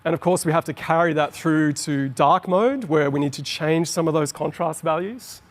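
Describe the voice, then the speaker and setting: A middle-aged Australian man giving an enthusiastic, explanatory conference talk on stage. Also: fairly high pitch. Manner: explanatory, enthusiastic, quickens on asides. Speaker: conference presenter. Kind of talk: keynote presentation. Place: large conference hall on stage. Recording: long hall reverb.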